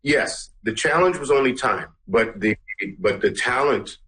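A man's voice: medium pitch, lively intonation, unhurried, fairly bright tone, low-quality recording. A middle-aged man, a musician answering interview questions conversationally, feeling for his words and repeating phrases for emphasis. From Canada, Guyana-born. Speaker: musician and song producer, interview guest.

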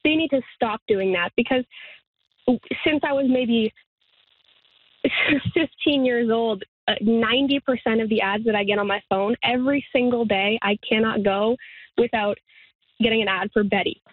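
A woman of twenty talking earnestly in a radio interview, halting and restarting, with light laughs. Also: a little high, no room sound. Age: 20s. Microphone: phone. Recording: tinny phone line, dry.

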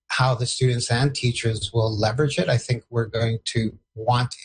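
A man whose first language is Portuguese answers interview questions in a mid-pitched, husky voice. He hesitates often and restarts his sentences. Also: fairly even intonation, normal speed. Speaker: education leader.

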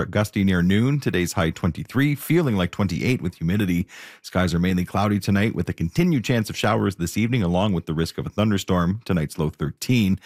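A man reads a scripted weather forecast. His voice is low, and the recording is dry and free of room sound. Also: no echo. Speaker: weather announcer.

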